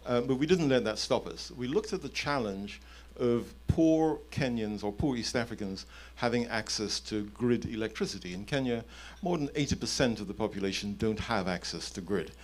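Male executive, fifties, mid-pitched, explanatory panel talk at a conference. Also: expressive, normal speed, few pauses. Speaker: panelist, company chief executive. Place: indoor conference event on a stage. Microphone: handheld microphone.

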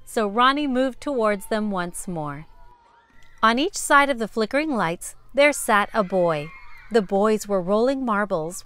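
A woman narrating a children's story from a script in an expressive, measured storytelling manner. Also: medium pitch, smooth, no echo.

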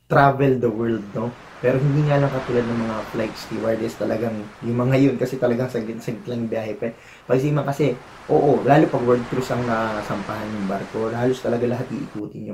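A man's voice: mid-range voice, a little mellow, slight background noise, poor audio. A man in his thirties with a Filipino accent, giving encouraging career advice to the camera while seated at a desk in a small room. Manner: earnest, encouraging, gestures with hands while talking. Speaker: vlogger, former cruise ship chef. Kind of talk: casual advice vlog to camera. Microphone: camera in front at desk distance.

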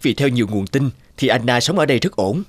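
A man voicing a polite, firm social worker in a cartoon, formal and measured. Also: mid-range voice, expressive, dry recording, lightly acted. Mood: businesslike. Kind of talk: animated cartoon dubbing.

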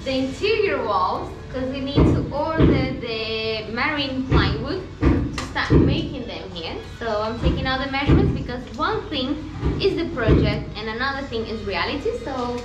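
High-voiced young woman touring a boatyard. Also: lively intonation, normal speed, lo-fi sound, over loud music. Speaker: sailing vlogger. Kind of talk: casual vlog chat. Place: outdoor boatyard and inside a wooden hull.

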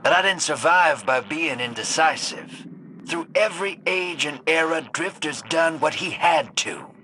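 An actor voicing a shady, streetwise rogue and survivor in a video game, with slangy, conspiratorial swagger. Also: male voice, on the high side, lively intonation, measured pace, sharp tone, slight background noise, poor audio. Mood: chummy, confiding. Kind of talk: video game character dialogue.

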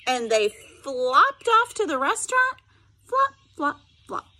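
A woman's voice: high-pitched, big pitch swings, slow, bright, forceful tone, low-quality recording. A middle-aged woman reading a picture book aloud expressively, with instructive asides spoken directly to students. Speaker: special education teacher reading aloud.

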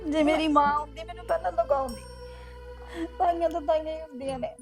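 A young woman playing a quiet, tearful bride mourning her mother. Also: high-pitched, steady tone, fairly quick, faint music behind.

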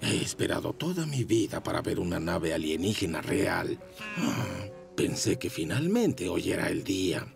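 A man voicing an excitable, earnest grown-up chopper motorcycle in a children's cartoon, with a dramatic manner. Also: sits low, normal speed, vocal fry, faint music behind, full character voice. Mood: wistful. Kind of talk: animated children's cartoon dub.

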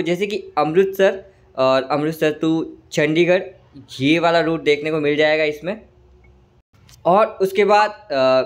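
A man with a slightly hoarse throat giving casual, chatty commentary over a train simulator game, often calling viewers "brother". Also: on the high side. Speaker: gaming channel host.

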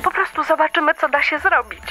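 Bright-voiced, kindly radio caller. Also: female voice, sits high, a little fast, soft background music. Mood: kindly, earnest. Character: kindly, helpful listener phoning a radio show. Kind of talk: Christmas radio play acting.

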